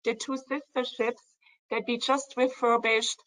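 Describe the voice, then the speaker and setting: A woman co-founder hosting a virtual company event, warmly praising a colleague and handing the floor over to him. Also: a little high, little pitch movement, measured pace, low-quality recording. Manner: warm, praising, guides the conversation. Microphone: video call.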